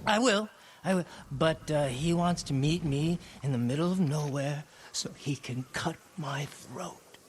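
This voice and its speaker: An actor playing an excitable, roguish sake merchant and hapless schemer in a video game cutscene, wheedling and theatrical. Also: male voice, a little high, measured pace, slight background noise, natural voice. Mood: sheepish.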